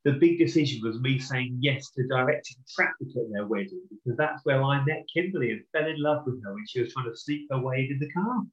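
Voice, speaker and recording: A man's voice: mid-range voice, expressive, brisk, hardly any pauses, reverberant, dull audio. A man from Norfolk co-hosting a casual live podcast, chatty and self-deprecating, with a stuffy nose from a cold. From Norfolk, England. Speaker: podcast co-host.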